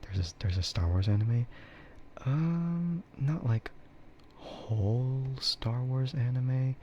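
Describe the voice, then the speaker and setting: A soft-spoken man chatting casually and haltingly in a laid-back ASMR livestream style. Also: medium pitch. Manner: chuckles often while talking. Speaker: VTuber livestreamer. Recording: muffled audio with clear background noise.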